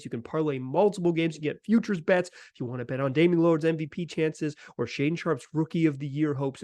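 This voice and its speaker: Higher-voiced man, casual sports podcast host. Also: a little mellow, no echo. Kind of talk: casual sports talk and analysis.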